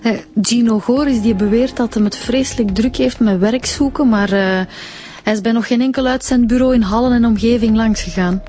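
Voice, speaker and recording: A woman playing a mellow-voiced Flemish inspector. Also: on the high side, fairly quick, natural voice. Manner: matter-of-fact reporting. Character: brisk female police inspector reporting findings. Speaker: police inspector. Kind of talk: radio drama acting.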